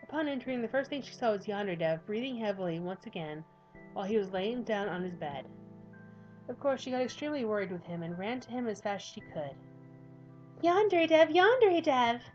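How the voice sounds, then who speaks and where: A woman's voice: mid-range voice, expressive, quick pace, darkish tone, dull audio, soft background music. A woman reading a visual novel aloud with rambling, chatty commentary from a home desk mic. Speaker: gaming youtuber.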